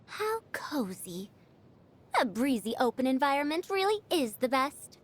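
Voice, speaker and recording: A woman's voice: high voice, sing-song, measured pace, heavily acted. A woman voicing a proud, fussy female scholar in a video game, old in years but youthful, lecturing in a prim, pompous manner. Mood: musing, fussy. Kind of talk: scripted video game character lines.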